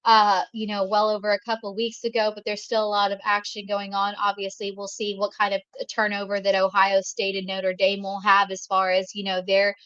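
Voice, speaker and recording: Woman talking college football, flat and dry. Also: medium pitch, a little fast, few pauses, clear, resonant tone, no echo, highs cut off. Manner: conversational, many fillers and restarts. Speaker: co-host. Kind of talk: sports talk commentary.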